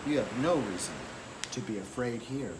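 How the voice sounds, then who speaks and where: A man's voice: mid-range voice, animated, moderate pace, pitched below the performer's own voice, close to an everyday voice. A man voicing a kindly, helpful iguana who speaks in a soothing, reassuring way. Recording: clearly noisy background.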